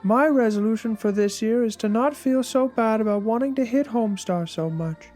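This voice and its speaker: Higher-voiced woman reading over music. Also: normal speed, mellow. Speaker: reader in a dramatic reading of a fictional blog. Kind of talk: dramatic reading of a journal. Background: background music.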